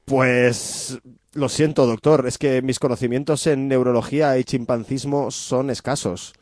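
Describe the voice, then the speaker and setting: A man's voice: medium pitch, steady tone, a little slow, darkish tone, dry recording, studio quality, close to an everyday voice. A man playing an insistent, melodramatic woman lover in a comic radio soap, overwrought and pleading.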